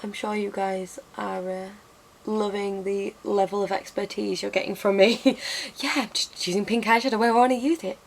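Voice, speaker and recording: A young woman, a little hoarse from losing her voice, chatting casually and self-mockingly through a makeup tutorial at her desk. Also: medium pitch, fairly even intonation, moderate pace, slight background noise. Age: young adult. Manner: chatty and self-mocking, lots of ums and restarts. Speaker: beauty vlogger. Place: bedroom with bookshelves, indoors.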